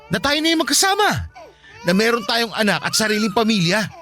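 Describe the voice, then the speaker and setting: A man's voice: sits high, very animated, fairly quick, sharp tone, faint music behind, exaggerated voice. A man with a Filipino accent playing an aggrieved, pleading young father in a radio drama, insistent and emotional. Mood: tearful pleading.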